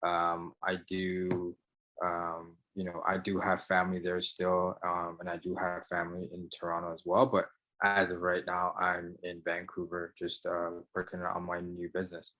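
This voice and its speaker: A man's voice: deep voice, completely flat delivery, moderate pace, bright, forceful tone, low-quality recording. A young man from Sri Lanka, a barber and entrepreneur, answering interview questions casually with many fillers and restarts.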